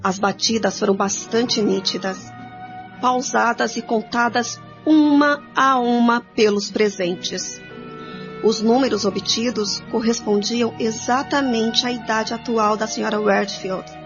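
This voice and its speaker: A Brazilian woman with a slightly high voice narrates a scripted radio story to her listeners. The recording is a little dull, with faint music underneath. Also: slow.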